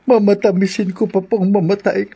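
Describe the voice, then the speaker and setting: An actress playing an anguished young character in a horror radio drama, speaking in a pained, sorrowful, hesitant way. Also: female voice, mid-range voice, steady tone, moderate pace, gravelly, dark tone, husky, lo-fi sound. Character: anguished young person learning of own demon blood.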